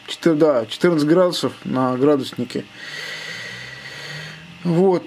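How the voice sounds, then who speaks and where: A man's voice: on the high side, a little mellow, slight background noise. A man making casual amateur radio contact, spelling out call signs in phonetic words. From Russia.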